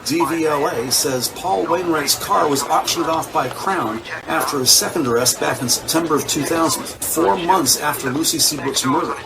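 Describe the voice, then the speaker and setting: A man's voice: on the high side, normal speed, vocal fry, hardly any pauses, noisy background. A man reading scripted dramatic narration for an audio drama.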